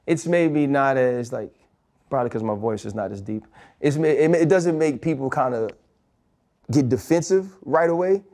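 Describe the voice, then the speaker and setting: A man's voice: mid-range voice, a little mellow. Male co-host, mid-range voice, reflective and conversational questioning. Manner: thoughtful, searching, conversational. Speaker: podcast co-host. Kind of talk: casual interview questions. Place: indoor studio set.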